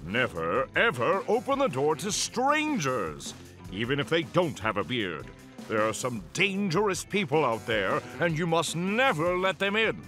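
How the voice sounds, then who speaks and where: A man's voice: a little high, wildly swooping pitch, a little slow, pushed and bright, soft background music, heavily acted. A man playing a bombastic, cheerful, muscle-bound cartoon superhero who lectures children in a theatrical, goofy, emphatic way. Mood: stern, emphatic. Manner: theatrical, emphatic, goofy public-safety preaching. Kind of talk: children's cartoon safety message.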